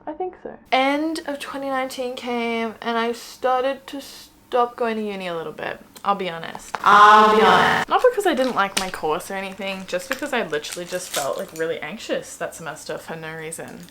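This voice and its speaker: A young woman from Australia talks straight to her camera at home, loose and rambling, full of "like"s and little self-mocking jokes. Her voice sits at an ordinary middle pitch. A faint hiss runs under the recording. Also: normal speed, hardly any pauses. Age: 20s. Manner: chatty and rambling, many "like"s and self-mocking asides. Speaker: vlogger. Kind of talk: casual chat while doing makeup. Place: home room indoors.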